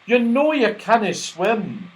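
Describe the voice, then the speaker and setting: A man voicing a gentle, curious young female fairy with a Scottish accent, for a children's storybook. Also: high voice, huge pitch swings, slow pace, voice pushed up, full character voice. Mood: calling out.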